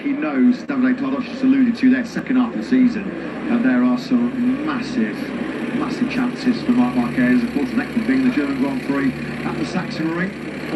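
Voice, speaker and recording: Male live motorsport commentator and anchor, mid-range voice, heavy background noise. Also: mid-range voice. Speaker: sports commentator and broadcast host.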